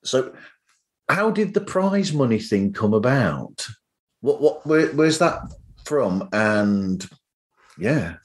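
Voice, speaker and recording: A man with a mid-range voice hosts a casual interview. He speaks haltingly, rewording his thoughts and questions as he goes, in an informal conversational style. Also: mid-range voice, sing-song. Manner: halting, rephrasing as they go. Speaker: podcast host, interviewer.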